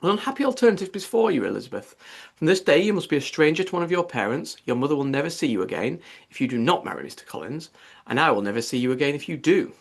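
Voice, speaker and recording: Middle-aged gentleman father for a classic audiobook, acted by a male narrator: unruffled, formal, drily ironic, measured. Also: fairly high pitch, big pitch swings, gravelly, heavily acted. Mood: mock solemn.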